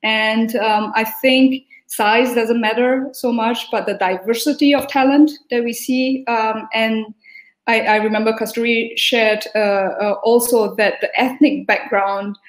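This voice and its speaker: A woman's voice: on the high side, steady tone, measured pace, roomy sound, poor audio. A woman from Singapore, a guest speaker at a virtual summit, sharing what she learned from a panel in an earnest, thankful way with many fillers.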